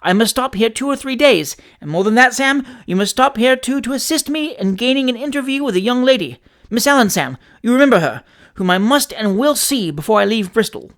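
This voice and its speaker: Reader doing a flustered young gentleman. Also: medium pitch, expressive, brisk, voice pushed up. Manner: indignant, fussy.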